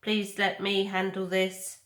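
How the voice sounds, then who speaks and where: A woman voicing a gentle, motherly female robot in a measured, polite way for a storybook. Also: mid-range voice, completely flat delivery, echoey room. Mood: calm pleading.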